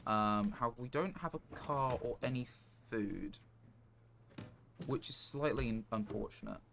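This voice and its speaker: A man's voice: medium pitch, a little slow, fairly bright tone. A man live-streaming a game, thinking aloud in a casual, rambling and understated way. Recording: clear background noise, clicks.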